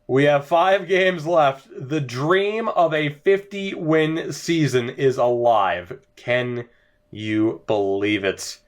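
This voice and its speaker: A young man giving jokey, excitable commentary over a hockey video game, his voice worn as he loses it. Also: a little high, slow. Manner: excitable, jokey, laughs often. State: losing his voice. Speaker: gaming YouTuber. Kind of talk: casual gameplay commentary.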